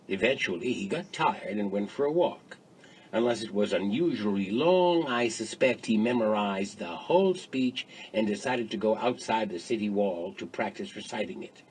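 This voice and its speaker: A man playing a wry, teasing ancient Greek philosopher in a measured dramatised dialogue reading. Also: fairly low pitch, breathy edge.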